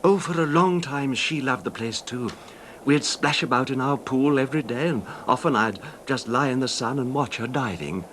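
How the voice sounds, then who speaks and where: An actor playing a courteous, besotted middle-aged rich husband in a 1970s radio drama, speaking haltingly with reflective pauses. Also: male voice, medium pitch, a bit bright, slight background noise, close to an everyday voice. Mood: dreamy, reminiscent.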